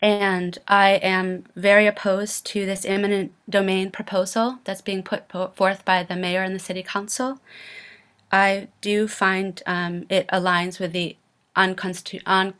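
A woman from Maine giving public comment at a council hearing over a video call, earnest and halting, with many pauses and restarts. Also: mid-range voice, level tone, fairly bright tone, clean tone.

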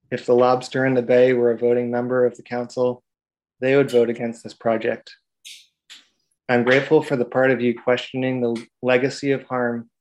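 Young man, prepared statement, earnest, even monotone delivery. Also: medium pitch, rough recording. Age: young adult. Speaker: public commenter. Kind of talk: prepared public comment.

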